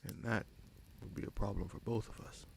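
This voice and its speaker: A man playing a scheming sorcerer prince in a fantasy audio roleplay, smug and coolly menacing, with a gravelly voice and slow, deliberate, taunting delivery. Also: on the low side, steady tone, darkish tone, a little hiss or hum. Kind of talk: fantasy audio roleplay, dramatic monologue addressed to listener.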